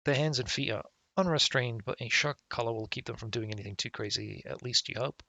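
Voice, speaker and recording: A man's voice: mid-range voice, a little fast, creaky, pushed and bright, slight background noise. A man live-streaming a game, reading its text aloud and adding casual, sweary, offhand comments, with game music behind him. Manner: casual, profane, offhand asides.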